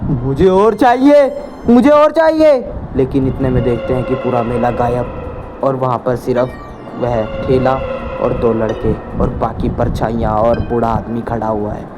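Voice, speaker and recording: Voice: mid-range voice, flat delivery, normal speed, over music, poor audio. A narrator telling a horror cartoon story in a plain way.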